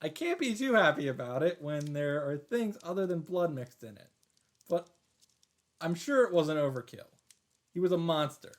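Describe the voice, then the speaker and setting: A man reading a visual novel aloud as a streamer, in an emphatic, dramatic storytelling style with drawn-out pauses. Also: fairly high pitch. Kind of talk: dramatic narrated read-aloud.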